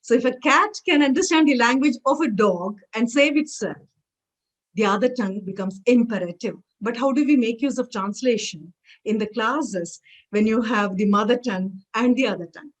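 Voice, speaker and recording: An Indian English woman with Tamil as her first language, lecturing with a lively storyteller's touch. Her voice sits a little high, and she leans on repetition to drive each point home. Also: normal speed. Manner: emphatic, repeats phrases, animated storytelling. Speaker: guest lecturer.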